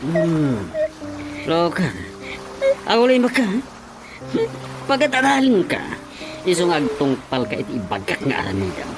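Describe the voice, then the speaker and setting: A predatory, bullying uncle in a radio drama. He speaks slowly and threateningly, leering and grunting, his pitch lurching into sudden outbursts. Loud dramatic music plays behind him. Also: big pitch swings. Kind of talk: Filipino radio drama acting.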